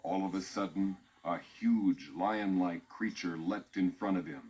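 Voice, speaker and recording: Man, deep voice, measured storybook narration, music behind. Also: steady tone, darkish tone.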